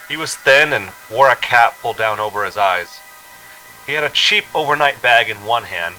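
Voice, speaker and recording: A young traveler in a radio suspense play. His voice is sharply bright and tense, with an uneasy storyteller's delivery. Music plays faintly behind him. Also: mid-range voice, low-quality recording. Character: uneasy young man narrating his eerie road trip. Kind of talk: radio drama acting, first-person suspense narration.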